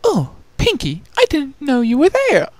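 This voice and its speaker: A dainty, theatrical cartoon unicorn girl, voiced by the narrator, very proper and a little timid. The pitch is lifted well above the reader's own and moves in lively swoops, recorded close and dry. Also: measured pace, no room sound, exaggerated voice. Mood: refined, shaken. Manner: refined, polite, theatrical. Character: genteel, timid young unicorn pony girl, polite and dramatic.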